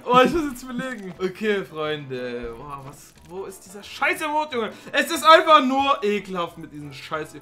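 A man's voice: high-pitched, moderate pace, few pauses, soft background music. A man in his twenties who speaks slangy speech, chatting casually and swearing as he hosts a gaming video with friends. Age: 20s. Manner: excitable, slangy, swearing banter. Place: small room at home, indoors.

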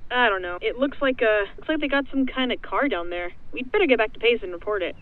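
A woman's voice: on the high side, animated, fast, phone-quality sound, close to an everyday voice. A woman voicing an exasperated male soldier griping casually at a partner in a fan parody cartoon dub.

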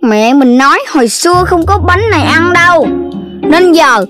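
A performer voicing an excitable, greedy young animal child in a children's cartoon, whiny and exclamatory, with a Southern Vietnamese accent. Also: extremely high pitch, moderate pace, over music. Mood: defensive, eager.

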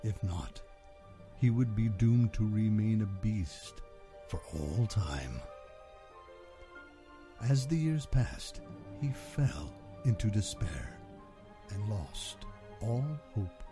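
A man giving a dramatic, scripted fairy-tale narration in measured, solemn, short phrases. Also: on the low side, big pitch swings. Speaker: podcast host and narrator. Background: music under the voice.

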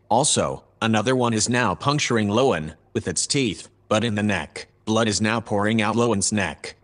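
A calm, formal male AI acted by a man speaks in a sci-fi audio drama. The voice is fairly low, measured and dispassionate, laying out each detail methodically. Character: calm, formal male artificial intelligence reporting grim events.

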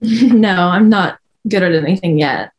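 A woman's voice: fairly low pitch, unhurried, darkish tone, lo-fi sound, close to an everyday voice. A young woman playing a casual, hesitant teenage schoolgirl at a script read over a video call. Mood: friendly, hesitant. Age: young adult. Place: bedroom.

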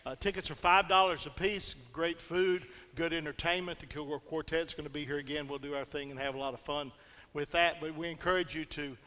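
An older man with an East Texas accent giving brisk, jovial church announcements from a pulpit. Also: a little high, fairly even intonation, pushed and bright. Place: large church sanctuary with choir behind. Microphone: pulpit mic. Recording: long reverb of a big sanctuary.

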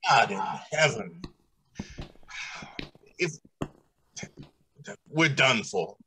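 An actor playing a grave, formal, anguished factory director in old-time radio drama style. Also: male voice, mid-range voice, lively intonation, very slow, sharp tone, breathy edge, exaggerated voice. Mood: stunned. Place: indoors, on a video call.

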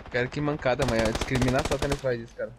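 A man chatting and teasing his squad mates while playing a shooter, often shouting excited callouts. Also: medium pitch. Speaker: streamer in squad. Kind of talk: casual gaming chat over voice chat. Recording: noisy game audio with gunfire.

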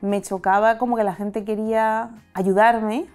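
Soft-toned woman from Madrid reflecting. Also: mid-range voice, fairly even intonation, measured pace, soft background music. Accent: Castilian Spanish. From Madrid, Spain. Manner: reflective, open, gesturing with her hands. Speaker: interviewee. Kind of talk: candid personal testimony. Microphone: lapel mic.

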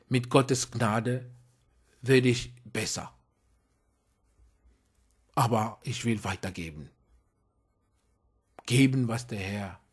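A man preaching a sermon in a language he learned as an adult, hesitant and repeating words, then rising to emphatic, high-pitched exhortation. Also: slightly rough. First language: not German. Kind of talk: impassioned sermon.

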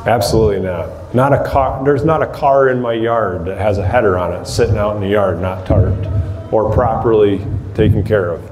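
A man in his thirties talks shop with a small crowd, his voice low and mellow. He speaks plainly and practically, with plenty of ums and restarts. The sound comes from a camera across a big, echoey room, with faint hiss underneath. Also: normal speed. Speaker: demolition derby builder giving a seminar. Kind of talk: casual talk to a small audience. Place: large hall with rows of empty chairs, indoors. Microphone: far camera across the room.

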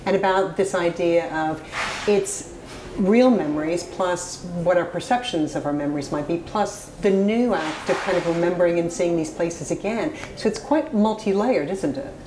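British woman interviewer, low voice, echoey kitchen, faint noise. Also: moderate pace. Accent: British English. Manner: friendly, curious questioning. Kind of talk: interview questions. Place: kitchen shop, indoors.

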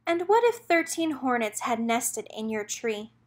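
High-voiced woman reading a picture book. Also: sing-song, a little slow. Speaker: read-aloud narrator. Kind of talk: children's picture book read-aloud.

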